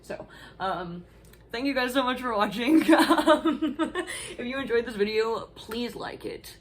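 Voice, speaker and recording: A woman's voice: on the high side, a little slow. A woman giving a playful, giggly vlog outro and joking with her viewers.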